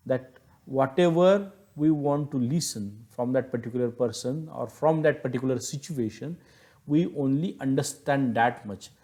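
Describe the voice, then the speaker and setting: Mellow-voiced Indian male lecturer. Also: medium pitch. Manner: didactic, emphatic on key words, repeats and rephrases points. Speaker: teacher, lecturer. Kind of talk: explanatory lecture.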